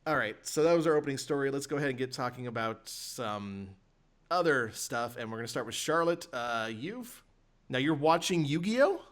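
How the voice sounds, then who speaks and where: Mid-pitched man hosting a joky podcast. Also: animated, normal speed. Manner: joking, sardonic. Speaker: podcast host. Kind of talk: casual chat.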